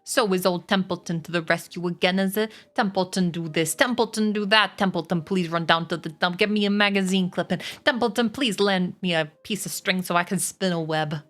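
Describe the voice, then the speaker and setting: A woman's voice: fairly low pitch, brisk, hardly any pauses, a bit bright, natural voice. A woman reading a storybook aloud and voicing a grumpy, sarcastic male rat who growls and mocks. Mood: disgusted.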